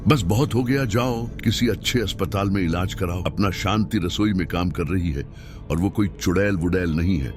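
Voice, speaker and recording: A man's voice: low-pitched, moderate pace, natural voice. A man voicing a skeptical young husband in a cartoon, dismissive and exasperated with his wife. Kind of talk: cartoon voice acting. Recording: loud background music.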